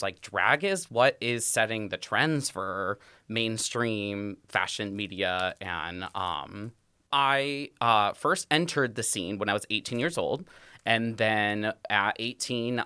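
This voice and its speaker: A man's voice: on the low side, clear, resonant tone, no room sound. A man of about thirty from Ohio, a drag performer and salon manager, chatting freely and expressively as a podcast guest in a studio. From Toledo, Ohio, USA. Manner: chatty and expressive, quick to laugh. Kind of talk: casual interview chat.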